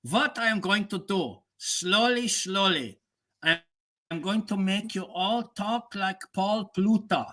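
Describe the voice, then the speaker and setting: A man voicing a boastful, bossy male German professor, rambling slowly in a mock German accent on a comic live stream. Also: high-pitched, bright, forceful tone, exaggerated voice. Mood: commanding. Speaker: guest.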